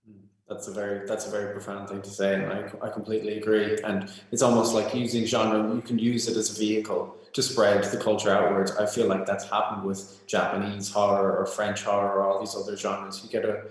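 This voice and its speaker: A man's voice: lowish voice, monotone, brisk, hardly any pauses. A man moderating a festival Q&A, earnest and reflective, sometimes searching for words. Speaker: interviewer. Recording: very echoey room.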